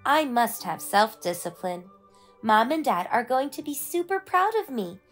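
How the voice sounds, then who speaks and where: A woman's voice: fairly high pitch, sing-song, unhurried, soft background music, heavily acted. A woman voicing an eager, earnest young boy in a children's storybook read-aloud. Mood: proud. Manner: eager, proud, explaining earnestly. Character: excitable, earnest young boy learning martial arts.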